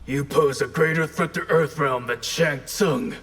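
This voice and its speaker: A man's voice: a little high, measured pace, fairly bright tone, slight background noise. A man playing a grim, protective ninja clan leader in a video game, stern and confident. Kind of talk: video game fighter acting. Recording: game dialogue with music behind.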